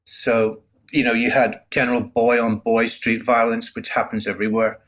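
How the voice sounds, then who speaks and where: A man in his sixties from Northern Ireland, a guest telling his life story in a relaxed, conversational way, full of "you know". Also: medium pitch, normal speed. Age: 60s. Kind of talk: candid storytelling.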